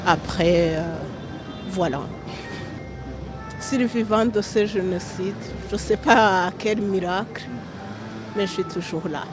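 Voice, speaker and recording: A woman from Rwanda gives testimony outdoors at a march. She speaks very slowly and hesitantly, with pauses. Loud music carries in the background. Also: medium pitch. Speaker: genocide survivor interviewed. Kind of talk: street interview. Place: outdoors at a city street march.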